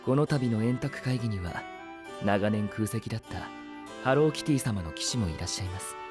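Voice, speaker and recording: A man's voice: on the low side, close to an everyday voice. A male actor playing a serene, elegantly polite young knight with a lightly teasing composure in an anime audio drama.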